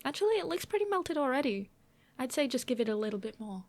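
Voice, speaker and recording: High-voiced, playful young woman roommate, acted by a woman. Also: sing-song, fast. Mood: nervous, focused. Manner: chatty, self-deprecating, hesitant, often giggly, murmuring to herself. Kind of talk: girlfriend ASMR audio roleplay.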